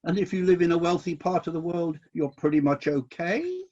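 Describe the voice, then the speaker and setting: A man acting in a radio play as God, a disillusioned but decisive ruler of heaven, with indignant questions and deliberate commands. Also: on the high side, lively intonation, dark tone, highs cut off. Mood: incredulous, dismayed.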